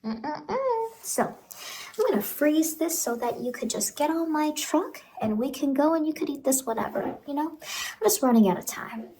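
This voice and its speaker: A woman voicing a cheery, eerie clown ice-cream vendor in a horror ASMR roleplay, softly coaxing and reassuring a child up close over background noise. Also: high voice, moderate pace, lightly acted, poor audio. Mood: chatty, coaxing.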